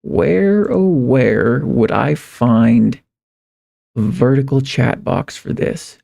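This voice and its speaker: A man livestreaming in a casual, rambling way, halting and repeating phrases as he thinks aloud. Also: medium pitch, gravelly, warm tone. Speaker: live streamer. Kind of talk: casual livestream chat, reading viewer comments.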